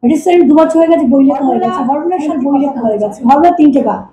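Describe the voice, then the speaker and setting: A woman's voice: high-pitched, expressive, racing, a crowd in the background. A woman from India, telling personal stories informally in fragmented, self-interrupting sentences. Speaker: folk vocal mimicry artist being interviewed. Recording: echoey room with faint noise.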